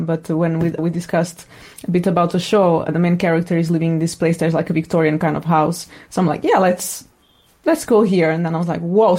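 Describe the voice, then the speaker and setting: A woman's voice: on the low side, darkish tone. A woman talks reflectively as an interviewed sound designer, thoughtfully searching for her words.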